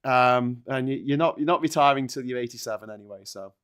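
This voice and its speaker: A man's voice: medium pitch, fast, fairly bright tone, smooth. Joking, sweary Liverpudlian man. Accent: Liverpool English. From Liverpool, England. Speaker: panelist on a live online chat show. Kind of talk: casual political banter and anecdotes.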